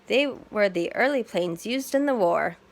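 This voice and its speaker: A welcoming air show guide from a children's storybook, read by a woman, with a very animated delivery. The guide explains things simply and clearly in short sentences. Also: mid-range voice, a little slow. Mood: informative.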